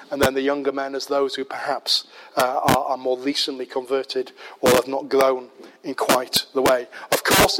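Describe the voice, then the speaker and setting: A man with a Yorkshire accent preaching a measured, explanatory sermon, often pausing with "uh". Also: mid-range voice. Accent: Yorkshire English. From Yorkshire, England. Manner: measured, explanatory, with frequent "uh" fillers. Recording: loud background noise.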